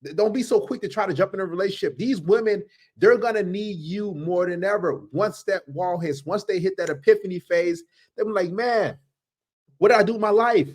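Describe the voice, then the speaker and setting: High-voiced man ranting in commentary. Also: moderate pace, a little mellow. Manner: emphatic, repetitive ranting. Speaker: commentary channel host. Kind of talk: reaction commentary.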